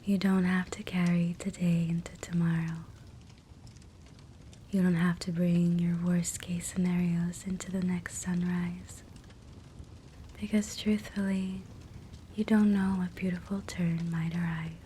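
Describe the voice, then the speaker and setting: A woman guiding a sleep hypnosis meditation in a soft, breathy whisper, gentle and soothing. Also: lowish voice, a little slow. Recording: soft steady fireplace crackle noise. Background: fireplace ambience.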